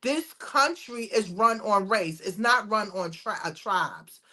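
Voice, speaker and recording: A woman's voice: mid-range voice, lively intonation, slow pace, pushed and bright. A woman co-hosting a podcast, talking casually and emphatically, often checking in with "you understand?". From United States. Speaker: podcast co-host. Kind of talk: casual opinionated discussion.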